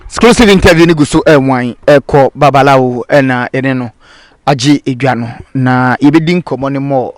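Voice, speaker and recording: A man with a Ghanaian accent and Twi as his native language, hosting a casual interview with halting, hesitant phrasing. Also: medium pitch, no echo, clean studio sound. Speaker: interviewer, host.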